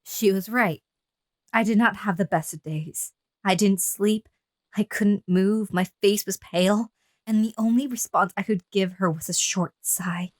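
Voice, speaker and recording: A woman's voice: medium pitch, measured pace, natural voice. A woman playing a frail, fearful captive lover who narrates her torment in a horror audio drama. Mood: wistful, resigned.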